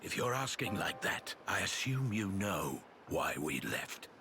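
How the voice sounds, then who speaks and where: A man's voice: sits low, big pitch swings, unhurried, noisy background. An actor playing a guarded, weary veteran guardsman in a video game, speaking tersely and formally. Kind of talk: video game cutscene acting.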